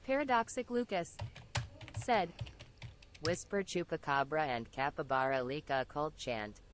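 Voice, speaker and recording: A synthetic woman's voice reading viewers' chat messages aloud on a stream, clipped and even. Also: sits low, measured pace, slight background noise. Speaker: text-to-speech chat reader.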